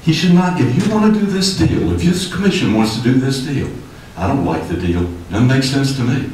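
A man's voice: medium pitch, expressive, moderate pace, a little mellow, a little hiss or hum. An older man speaking at a city hearing podium as a developer giving public comment, emphatic and growing heated. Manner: emphatic, gesturing, rising heat. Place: city commission chamber, indoors. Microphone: podium microphone. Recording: echoey hall, distant mic.

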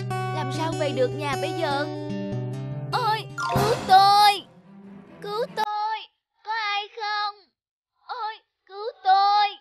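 High-pitched, childlike cartoon object. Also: female voice. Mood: worried, then panicked. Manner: earnest, expressive, childlike. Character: childlike talking cup and flashlight, eager, easily frightened young friends. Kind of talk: dubbed children's cartoon dialogue.

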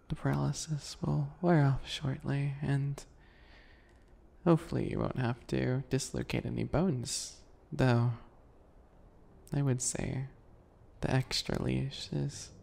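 A man voicing a sweet-spoken, obsessive male bunny predator in an ASMR roleplay, soft, breathy and teasing, often whispering. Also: mid-range voice, full character voice. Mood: sadistic affection. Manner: slow, teasing, giggly, menacing.

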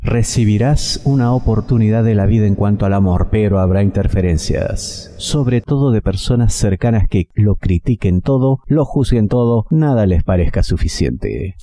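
A middle-aged man reading a weekly tarot horoscope into a headset mic, in measured, emphatic runs of phrases. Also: lowish voice, animated, warm tone, dry recording. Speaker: tarot reader. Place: small studio with green screen.

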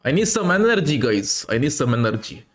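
A man's voice: medium pitch, big pitch swings, a little fast, loud music behind the voice. Young man faking a Russian accent. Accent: Indian. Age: young adult. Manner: mock Russian broken English, repeats "my friend". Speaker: streamer trolling strangers. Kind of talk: put-on Russian accent prank chat. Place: indoor room, video chat. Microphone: headset.

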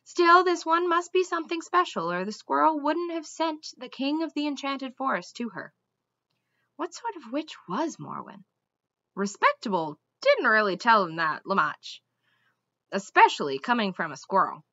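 A woman's voice: high voice, sing-song, moderate pace, bright, forceful tone, dry recording, dull audio. A young adult woman narrating a children's storybook expressively, like a teacher reading aloud. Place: small room indoors at home.

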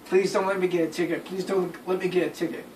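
A young man casually telling friends an anecdote in an animated way. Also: high voice, quick pace. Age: young adult. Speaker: storyteller. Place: small bedroom, indoors. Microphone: phone camera a few feet away. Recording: roomy, distant phone mic with noise.

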